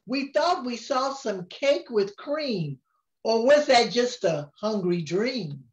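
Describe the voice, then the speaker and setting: An older woman reading a rhyming picture book aloud in a gentle, unhurried way, often chuckling. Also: a little high, expressive, muffled recording.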